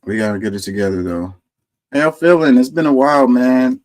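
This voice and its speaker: This is a male host with a middle-pitched voice. He reads a scripted civic message in an earnest, measured way. Off-script, he turns loud and chatty with his audience. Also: lively intonation. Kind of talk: scripted voice-over narration and casual live talk.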